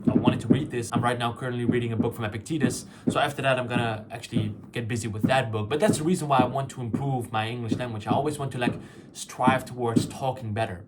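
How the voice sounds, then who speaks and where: A man's voice: medium pitch, steady tone, fast, very noisy. A young man whose first language is Farsi, rambling through a reflective solo vlog with many fillers and restarts. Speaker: YouTuber. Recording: loud fireworks and clicks behind.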